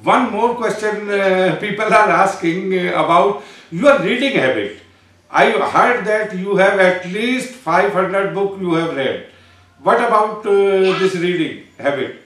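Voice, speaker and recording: A man hosting an interview, asking questions in hesitant English with many fillers, then closing quickly in Hindi. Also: sits high, expressive, a bit bright. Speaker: interviewer, host. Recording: very echoey room.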